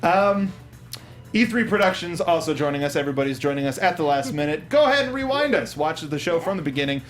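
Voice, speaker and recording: A man's voice: on the high side, animated, quick pace. A man hosting a casual panel review show in an enthusiastic way. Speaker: host of a movie review show. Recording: music playing under the talk. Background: music.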